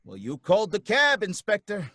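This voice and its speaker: Jittery, high-strung cab driver. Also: male voice, wildly swooping pitch, normal speed, bright, forceful tone, dry recording, clean studio sound, exaggerated voice. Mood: uneasy. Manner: nervous, pleading, stammering, folksy slang. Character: jittery, put-upon male cab driver. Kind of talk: live old-time radio drama.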